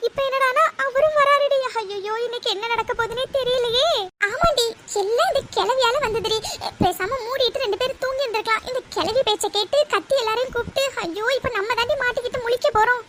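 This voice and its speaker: A woman's voice: very high-pitched, fast, fairly bright tone, a little hiss or hum. A woman playing an anxious, gossipy young woman in a comic family audio drama, talking in a fretful, conspiratorial, colloquial way. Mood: panicky. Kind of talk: melodramatic comedy audio drama.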